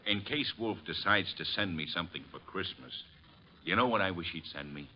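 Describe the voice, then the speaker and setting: A man with an American accent acting a gruff, irritable police inspector in an old-time radio drama, curt and sarcastic. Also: sits low, expressive, brisk, background noise. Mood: sarcastic, irritated. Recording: muffled vintage radio recording.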